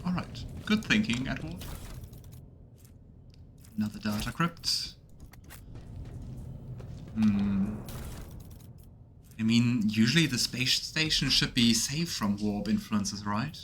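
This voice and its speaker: A man's voice: on the low side, lively intonation, slow pace, pauses often, fairly bright tone, a little hiss or hum. A man streaming a video game, musing aloud and reading out in-game notes in casual commentary. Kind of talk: casual let's play commentary.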